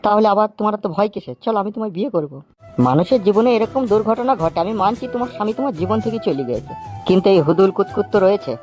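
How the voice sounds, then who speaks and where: A man playing a pushy, smooth-talking suitor in a comedy cartoon, coaxing and repeating himself. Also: high-pitched, expressive, very fast, darkish tone, soft background music. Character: smooth-talking pushy male suitor wooing an abandoned wife.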